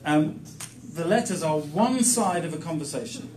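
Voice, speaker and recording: A man preaching in short, emphatic, plain-spoken phrases, pausing for an interpreter. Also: fairly high pitch, lively intonation. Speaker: guest preacher teaching the Bible. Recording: echoey room, distant mic, faint noise.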